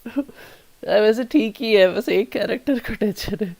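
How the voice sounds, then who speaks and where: A man's voice: high-pitched, rapid-fire, vocal fry, darkish tone. A man with an Indian accent giving casual, informal live gameplay commentary as a streamer. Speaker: game streamer. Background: game music.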